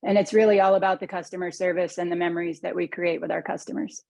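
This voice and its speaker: A woman's voice: on the low side, level tone, fast talker, creaky, a little mellow, no room sound. A woman who co-owns a candle business, speaking earnestly on a panel. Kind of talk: panel discussion.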